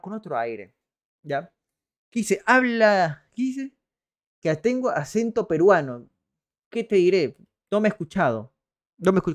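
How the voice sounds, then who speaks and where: A young man, doing casual and sarcastic political commentary on a livestream, reading out poll figures and greeting viewers over a headset mic. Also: on the high side. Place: small room indoors.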